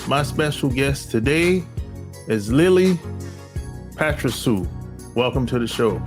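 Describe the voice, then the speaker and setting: Slow, deliberate male podcast host. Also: medium pitch, lively intonation, over music. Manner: slow, deliberate announcer-style delivery with emphatic phrasing. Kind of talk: interview podcast hosting with sponsor reads.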